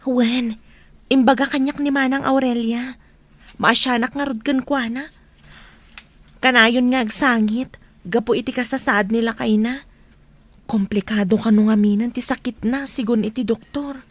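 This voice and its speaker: A woman's voice: fairly high pitch, fairly even intonation, normal speed, pauses often, no echo, lightly acted. A woman acting a sympathetic, gossipy village worker in a radio drama, speaking with an Ilocano accent. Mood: chatty, concerned.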